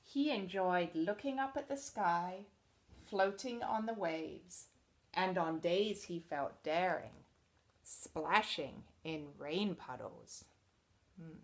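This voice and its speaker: A woman teacher reading a storybook aloud to children expressively, pausing to explain things in simple words. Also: on the low side, lively intonation.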